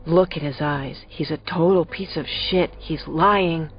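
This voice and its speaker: A woman's voice: low voice, unhurried. A woman voicing a whispering teenage boy. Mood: urgent hush. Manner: hushed, urgent whisper. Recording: lo-fi audio with faint music.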